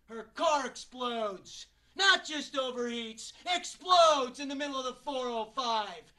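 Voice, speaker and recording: A man's voice: very high voice, lively intonation, measured pace, bright, forceful tone, full character voice. A man performing a theatrical monologue as an exasperated, wisecracking struggling actor, sarcastic and colloquial.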